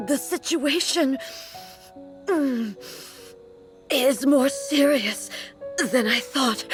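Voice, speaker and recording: A serious goddess-warrior, slow formal lines, soft music underneath. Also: female voice, sits high. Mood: strained. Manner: formal, measured, grave speech. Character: solemn goddess ruler, weakened, resolute warrior. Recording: game dialogue over faint music.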